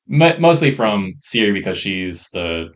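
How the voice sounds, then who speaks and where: Man, low voice, casual game review, rambling, enthusiastic. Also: big pitch swings, a little slow. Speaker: podcast co-host. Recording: rough podcast audio from faulty mic.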